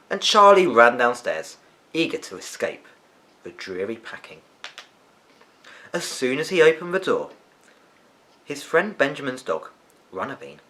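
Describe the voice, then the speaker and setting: A man reading a children's story aloud as an expressive narrator. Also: medium pitch.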